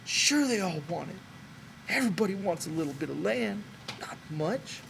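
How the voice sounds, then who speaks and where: A man's voice: high voice, lively intonation, normal speed, vocal fry, a bit bright, a little hiss or hum, pitched above the performer's own voice, full character voice, poor audio. A man voicing an old, wistful and stubborn American ranch hand with earnest, folksy talk, for an audiobook. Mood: earnest, dreamy. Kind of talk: dramatic audiobook character voice.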